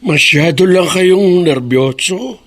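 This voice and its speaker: A man playing a frail, gentle elderly Filipino father and grandfather in a radio drama. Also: sits high, slow. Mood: tender, wistful.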